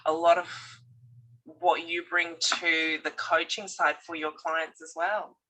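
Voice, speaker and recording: Middle-aged woman, interview guest; low-set, bright voice, halting, thoughtful delivery. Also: little pitch movement, low-quality recording. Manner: hesitant, many fillers and restarts, reflective. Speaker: podcast guest, divorce coach. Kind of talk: casual conversational interview.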